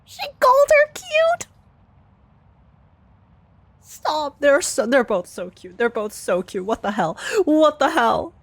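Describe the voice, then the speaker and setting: A young woman streaming a game, reacting excitedly and rambling through theories out loud. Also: sits high, takes it slowly, pauses often, no echo. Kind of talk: casual reaction commentary. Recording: faint game music behind. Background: game music.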